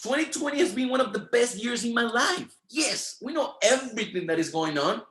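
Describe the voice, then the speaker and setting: A man's voice: very high voice, animated, a little fast, fairly bright tone, reverberant. A man whose first language is Spanish, speaking with a Spanish accent and giving a motivational training talk in an emphatic, repetitive, preacher-like rhythm. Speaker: network marketing trainer.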